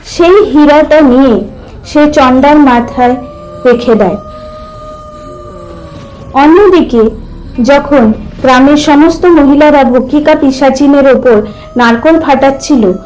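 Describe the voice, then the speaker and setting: A woman's voice: sits high, darkish tone, low-quality recording. A woman narrating a scripted supernatural folk tale as a storyteller.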